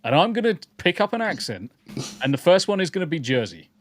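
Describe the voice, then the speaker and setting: A man's voice: high-pitched, sing-song, fairly quick. A man co-hosting a casual podcast livestream, joking and swearing freely while greeting viewers in chat. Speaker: podcast co-host.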